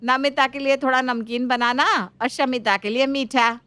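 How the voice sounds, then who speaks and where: A woman's voice: sits high, steady tone, normal speed, sharp tone, clear, resonant tone, dry recording. A woman voicing a bossy middle-aged mother-in-law in a story, ordering and scolding.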